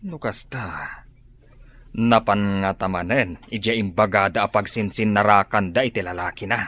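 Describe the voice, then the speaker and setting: A man's voice: on the low side, normal speed, a bit bright. A man playing a hapless, idle, grumbling father in a radio drama, muttering and grunting between phrases. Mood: troubled, defensive. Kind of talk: Ilocano radio drama acting.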